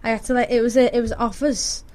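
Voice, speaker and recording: A woman's voice: fairly high pitch, level tone, fast, darkish tone. A young woman radio presenter talking casually, in a giggly, chatty way. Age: young adult. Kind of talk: casual chat. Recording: clear background noise, room ambience.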